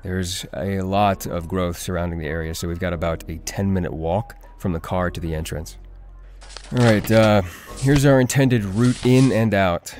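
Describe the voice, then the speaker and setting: A man playing a cautious, practical young guide on a vampire hunt in an audio drama, measured and dry in delivery. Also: low-pitched, a little mellow, natural voice. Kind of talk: audio drama acting, explanatory.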